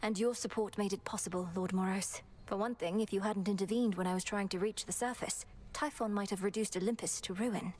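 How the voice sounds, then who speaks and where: A woman's voice: medium pitch, fairly even intonation, a little fast, hardly any pauses, darkish tone, a little hiss or hum, close to an everyday voice. Woman playing an earnest, composed young witch princess. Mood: earnest, reflective. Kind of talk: scripted game voice acting.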